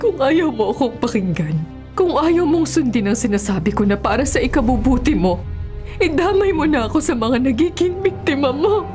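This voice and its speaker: An anguished Filipino wife in a radio drama. Her voice is high and emotional as she implores her husband. Faint music sits behind her. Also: animated, moderate pace, a little mellow. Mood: tearful, desperate. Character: anguished, pleading wife of a hitman, adult woman.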